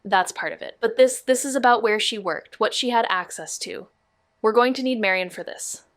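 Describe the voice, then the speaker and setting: A woman acting a quick-witted, playful and determined amateur investigator in a naturalistic mystery audio drama. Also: fairly high pitch, a bit bright, no echo, clean studio sound. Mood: focused, investigative. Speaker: actor in a neo-noir mystery podcast.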